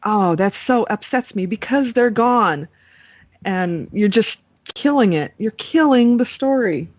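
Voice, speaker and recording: A woman's voice: medium pitch, lively intonation, a little slow, no room sound. Emphatic woman podcaster on a phone-like line. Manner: emphatic, exclamatory, swears casually. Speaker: podcast co-host. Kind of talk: casual horror movie chat. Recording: narrow phone-like call audio, dry.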